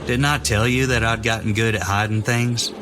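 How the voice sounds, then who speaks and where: A man playing a haunted, fanatical young soldier, speaking gravely and deliberately in a dramatic horror audio drama. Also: fairly low pitch, steady tone, noisy background, lightly acted. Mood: menacing resolve. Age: young adult. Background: music.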